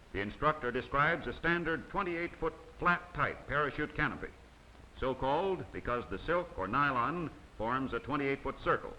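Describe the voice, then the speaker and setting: Man for a formal instructional film voice-over, bright, sharp tone, faint hiss underneath. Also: mid-range voice, low-quality recording. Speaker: narrator of a training film. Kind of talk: scripted documentary narration. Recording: faint hiss of old 16mm optical soundtrack.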